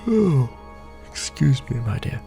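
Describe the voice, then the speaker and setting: A man playing a courtly, ancient vampire lord in an ASMR roleplay, speaking intimately and formally in a gravelly, often breathy half-whisper with measured pauses. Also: mid-range voice, sing-song, mellow, heavily acted. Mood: explaining, solemn. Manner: formal, archaic wording, measured delivery with pauses. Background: music.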